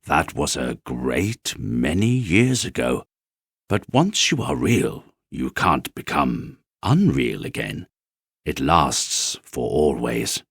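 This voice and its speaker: A man voicing a wise, kindly, very old toy horse in a bedtime story, speaking slowly and deliberately as he gently explains. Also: rumbling low, very animated, gravelly, slightly rough, dry recording, clean studio sound, pitched below the performer's own voice, heavily acted. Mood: wistful, wise.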